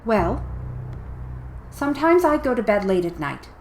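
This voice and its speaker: A woman's voice: on the high side, big pitch swings, a little slow, slight background noise. A woman playing a suspicious, gossiping neighbour in a radio play. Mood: suspicious, insinuating.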